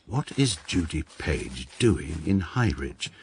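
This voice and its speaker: A dry pedantic lawyer. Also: male voice, rumbling low, very animated, takes it slowly, a little mellow, slight background noise, heavily acted. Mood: wry. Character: man narrating, precise pompous lawyer, middle-aged. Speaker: narrator of a radio comedy. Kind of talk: dry deadpan first-person narration.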